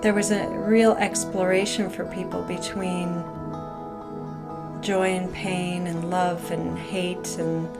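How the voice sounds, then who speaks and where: Woman narrator, slow and thoughtful, music bed. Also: fairly low pitch, flat delivery, gravelly. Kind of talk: reflective narration.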